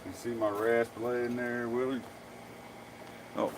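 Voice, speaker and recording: A man's voice: medium pitch, flat delivery, slow pace, noisy background. A man hosting a blacksmithing live stream, chatting casually and jokingly with viewers as he demonstrates his work in a workshop. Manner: folksy, joking, talks to viewers by name. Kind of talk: casual chat while demonstrating forge work. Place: workshop forge.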